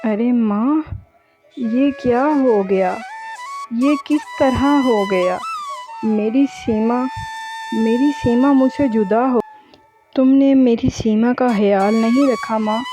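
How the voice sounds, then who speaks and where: A woman's voice: fairly high pitch, takes it slowly, over music. A woman voicing a distraught adult son and husband in a cartoon, pleading and melodramatic. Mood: grieving.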